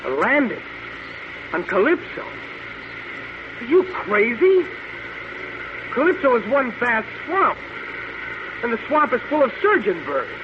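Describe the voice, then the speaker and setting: High-voiced, melodramatic space hero. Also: male voice, very animated, measured pace, long pauses, exaggerated voice. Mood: alarmed, incredulous. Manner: intense, melodramatic. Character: defiant, desperate captive hero of a space adventure. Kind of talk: old-time radio drama acting. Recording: muffled old radio recording, loud noise.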